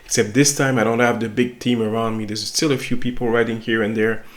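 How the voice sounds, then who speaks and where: A man's voice: mid-range voice, level tone, a little fast. A middle-aged French Canadian man, a native French speaker, talking casually and reflectively to his viewers about his review channel, with a self-conscious, rambling manner. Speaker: toy reviewer and site owner. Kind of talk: casual talk to camera.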